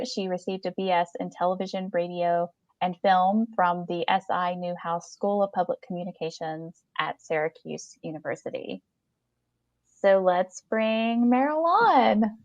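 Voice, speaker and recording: A woman's voice: mid-range voice, darkish tone, no echo, highs cut off. A woman hosting a casual live podcast chat, speaking in an enthusiastic, complimentary way. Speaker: podcast host.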